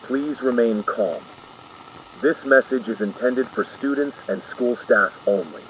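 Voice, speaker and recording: A man playing a calm, formal emergency broadcast announcer in an analog horror piece, measured and ominous. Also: medium pitch, noisy background, lightly acted.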